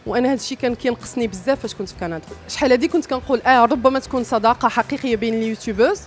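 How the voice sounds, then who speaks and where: Fast-talking Moroccan woman, frank and open. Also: fairly high pitch, soft background music. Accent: Moroccan Arabic. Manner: frank, hesitant with many "ah" fillers, mixes in French words. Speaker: interviewee, YouTuber. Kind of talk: casual interview answers. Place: red-upholstered cafe booth, indoors. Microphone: handheld mic close to the mouth.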